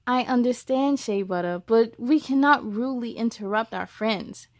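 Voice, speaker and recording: Woman as a high-voiced, kind, orderly schoolteacher. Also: moderate pace, dry recording, studio quality, lightly acted. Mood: gently firm. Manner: clear, polite classroom address. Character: kind, orderly female first-grade teacher, gently firm.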